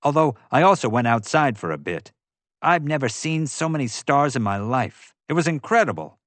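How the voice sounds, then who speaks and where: Man acting talkative, carefree thrill-seeker, lively pitch. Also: moderate pace, no echo, lightly acted. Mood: easygoing. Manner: gushing, talkative, casual. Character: excitable, carefree adventure-loving man. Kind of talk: audiobook character voice.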